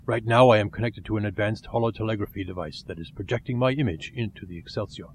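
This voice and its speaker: A man playing a grave, honorable alien warrior officer in an audio drama, speaking in a formal, measured and deliberate way. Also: lowish voice, steady tone, a little hiss or hum, lightly acted.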